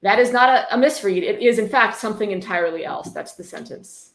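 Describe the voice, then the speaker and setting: Casual female host, dry sarcasm between read-aloud passages, mid-pitched voice in an echoey room. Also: fairly quick. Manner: sarcastic, mock-approving asides. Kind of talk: casual live commentary with a read-aloud book.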